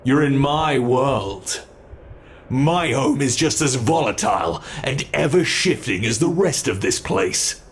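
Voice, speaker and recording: A man playing a sadistic monster in an ASMR horror roleplay, taunting captive prey with slow, savoring menace and a gravelly, creaky voice. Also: mid-range voice, expressive. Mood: gloating. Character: sadistic male monster gloating over captive human prey.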